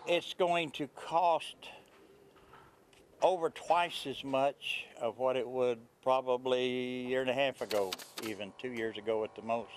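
An older man speaks with quiet conviction, pausing now and then with a plain, unhurried sincerity. His voice sits at an ordinary middle pitch. He is recorded outdoors at a construction site with a faint hum of noise behind him. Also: steady tone. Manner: earnest, faith-filled, plain-spoken with pauses. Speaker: pastor. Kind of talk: outdoor news interview.

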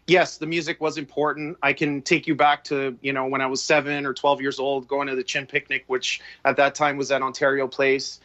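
A man's voice: medium pitch, little pitch movement, brisk, few pauses, pushed and bright. A man in his forties with a Canadian accent, a film director speaking as a guest over a video call from a room at home, talking reflectively with many restarts. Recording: dull lo-fi video call audio.

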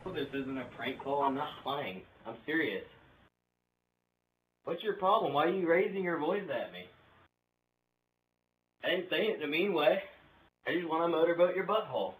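A man's voice: fairly high pitch, lively intonation, moderate pace, long pauses. A young man making a casual prank phone call, rambling crudely in a hesitant way. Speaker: video creator making prank calls. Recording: roomy, distant mic with background noise.

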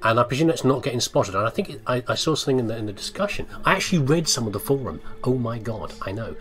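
A man's voice: mid-range voice, lively intonation, quick pace, a bit bright. A man hosting a gaming video with casual, jokey commentary, often talking to himself. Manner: jokey, chatty, talks to himself. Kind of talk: casual let's play commentary.

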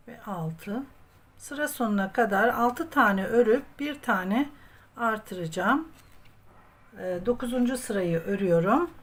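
A woman's voice: a little high, fairly bright tone. A woman hosting a crochet tutorial, explaining step by step in a patient, methodical way. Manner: patient, methodical, often counting aloud. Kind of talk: step-by-step craft instruction.

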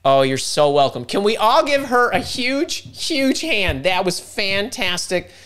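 A middle-aged man hosting a live career workshop over a video call, talking fast and interrupting himself as he thinks aloud. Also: high-pitched, big pitch swings, fairly bright tone, clear, resonant tone. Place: home room indoors. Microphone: video call webcam.

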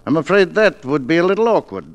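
Courteous official with lively intonation. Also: male voice, a little high, quick pace. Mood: courteous, uneasy. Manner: formal, hesitant, courteous. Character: polite, diplomatic presidential secretary, apologetic official man. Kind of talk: 1950s radio drama acting.

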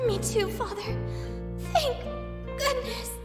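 A woman's voice: very high-pitched, takes it slowly, long reverb tail, loud music behind the voice. A woman playing a young, feisty and emotional princess in a video game cutscene. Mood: tearful joy.

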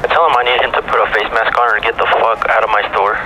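A man's voice: on the low side, level tone, quick pace. A man playing store boss over a walkie-talkie, giving casual, blunt orders on a noisy recording. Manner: bossy, blunt. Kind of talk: casual staged radio orders.

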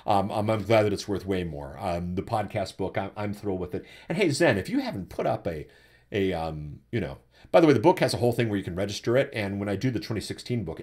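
Brief: deep-voiced man in his forties, animated live webcast host, swings widely in pitch and stumbles over repeated words. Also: slightly rough. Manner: animated, repeats words when starting a thought. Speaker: podcasting coach and webcast host. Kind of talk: live webcast answering chat questions. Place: indoors at a desk, webcam framing. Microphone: desktop USB microphone.

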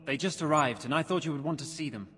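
An actor playing a frightened, humble young village archer in a fantasy game, anxious and deferential. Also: male voice, on the high side, quick pace, fairly bright tone, soft background music, lightly acted. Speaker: game character. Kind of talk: dramatic fantasy game acting.

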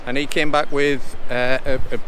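A man's voice: mid-range voice, flat delivery, moderate pace, bright, forceful tone, a little hiss or hum, poor audio. A middle-aged man narrating a nature vlog casually and informally, explaining things as he goes. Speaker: nature vlogger. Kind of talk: casual outdoor narration to camera. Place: outdoors on a coastal path.

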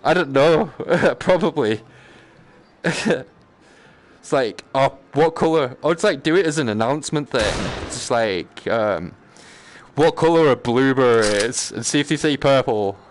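A man's voice: mid-range voice, animated, a little slow. A man chatting casually on a live game stream, joking in a slangy, sweary way. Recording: clear background noise.

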